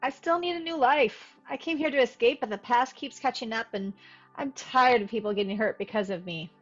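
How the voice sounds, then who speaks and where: A woman's voice: fairly high pitch, brisk, fairly bright tone. A woman playing a weary, guarded young woman in a film table read, understated and wistful. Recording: dull lo-fi sound, faint music.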